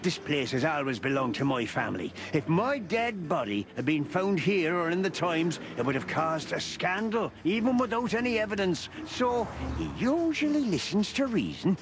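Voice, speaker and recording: A man's voice: high voice, sing-song, normal speed, hardly any pauses, background noise. An actor playing a jovial, stubborn old beaver in a video game, with an Irish accent and a teasing, folksy, fatherly manner. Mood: defiant, proud. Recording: music under the voice.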